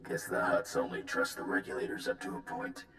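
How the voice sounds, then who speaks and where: An actor playing a gruff, battle-weary military planner in a video game, gravelly and clipped as he briefs. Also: fairly quick, background noise, close to an everyday voice. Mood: grim, blunt. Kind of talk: video game cutscene acting. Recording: echoing sound, music underneath.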